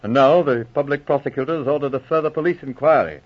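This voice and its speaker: A man playing a genial county police chief with brisk, hearty officer phrasing, in 1940s radio drama. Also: medium pitch, close to an everyday voice. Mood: worried, businesslike. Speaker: narrator and police colonel. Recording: muffled old radio recording.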